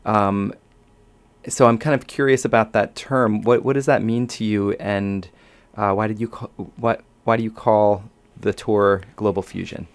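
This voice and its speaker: A man's voice: lowish voice, steady tone, measured pace. A man hosting a casual radio interview, putting curious questions in a tentative, conversational way. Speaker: radio host interviewing a musician. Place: radio studio.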